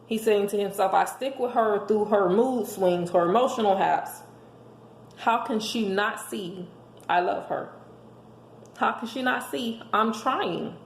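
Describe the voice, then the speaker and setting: Hoarse lady preaching relationship advice, croaky fry in her voice, echoey room at home. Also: medium pitch, a little slow. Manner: emphatic, preachy, rhetorical questions. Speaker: host giving relationship advice. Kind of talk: motivational monologue to listeners. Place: indoors at home. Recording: echoey room with faint background noise.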